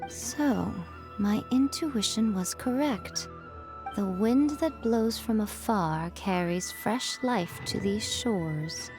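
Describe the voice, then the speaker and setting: Slow, enigmatic noblewoman. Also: medium pitch. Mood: knowing, approving. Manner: stately, knowing. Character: poised, enigmatic noblewoman, knowing and condescending. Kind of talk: video game dramatic acting. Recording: clear music under the voice.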